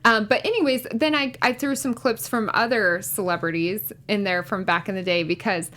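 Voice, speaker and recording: A woman's voice: mid-range voice, fairly even intonation, normal speed, bright, forceful tone. A woman chatting casually and enthusiastically as a panelist presenting a segment on a talk show.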